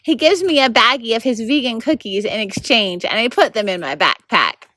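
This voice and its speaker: Female storybook reader, bright and animated, very varied pitch. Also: moderate pace, no room sound. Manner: animated, acts out the narration. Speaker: volunteer reader of a children's novel. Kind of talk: expressive storybook read-aloud.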